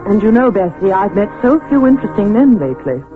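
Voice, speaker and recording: A woman's voice: mid-range voice, sing-song, fast talker, lightly acted. An actress playing a genteel, impressionable young woman of 1900 in an old-time radio drama, politely and breathlessly admiring. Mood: confiding. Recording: tinny old radio recording, music behind.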